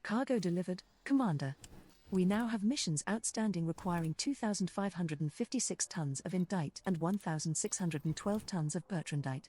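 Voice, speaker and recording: A woman's voice: lowish voice, moderate pace, warm tone, husky. A woman voicing an obliging, cheeky spaceship co-pilot assistant, making polished announcements for a space game.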